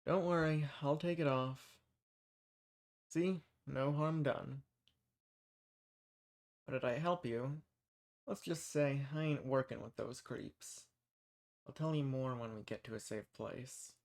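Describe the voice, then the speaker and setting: A man's voice: mid-range voice, takes it slowly, vocal fry, long pauses. Casting: male actor for a folksy rebel posing as a monster hunter, mid-range voice, audio roleplay. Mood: friendly, reassuring. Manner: folksy, reassuring. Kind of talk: scripted roleplay audio drama addressed to the listener.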